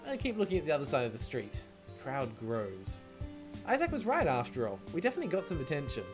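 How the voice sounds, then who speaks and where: A man's voice: fairly high pitch, huge pitch swings, fast. A man streaming a game, reading visual novel narration aloud and adding casual commentary. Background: music.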